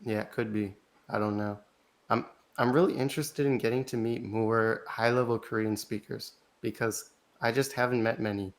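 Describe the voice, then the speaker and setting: Halting, thoughtful man, fairly low voice. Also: fairly even intonation. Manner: thoughtful, halting, with frequent restarts and "like" fillers. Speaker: interview guest, language learner. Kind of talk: casual interview conversation.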